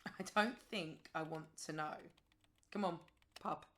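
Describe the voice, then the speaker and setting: An actress playing a blunt, loyal, teasing friend in audio play dialogue. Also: female voice, sits low, a little slow, a bit bright. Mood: dry.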